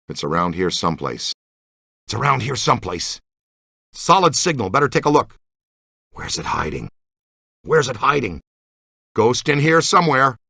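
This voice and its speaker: Excitable, bright-voiced ghost hunter guy. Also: medium pitch, creaky, breathy edge, no room sound, clean studio sound, exaggerated voice. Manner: enthusiastic, slangy, folksy team-leader talk. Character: eager, excitable middle-aged male ghost hunter mentoring a rookie. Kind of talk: video game voice acting.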